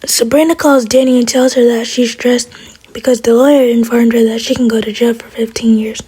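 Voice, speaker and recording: A woman's voice: a little high, fairly even intonation, fairly quick, mellow, rough recording. A woman whispering a gossipy, wry recap of a TV drama in an ASMR style. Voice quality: whispering, breathy. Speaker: TV show recapper.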